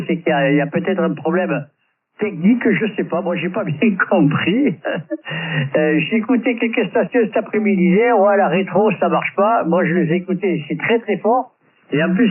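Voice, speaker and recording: A man chatting casually as an amateur radio operator, rambling conversationally over a radio transmission. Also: sits high. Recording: tinny narrow-band radio link, distant, faint static and clicks.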